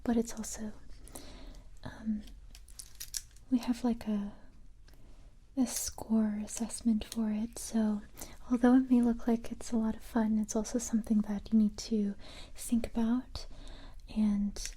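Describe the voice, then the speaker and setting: A woman doing a soft-spoken, whispered ASMR roleplay as a calm, encouraging police recruiter, gentle and hesitant, close to the microphone. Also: on the high side, slight background noise. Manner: gentle, hesitant, unhurried reassurance.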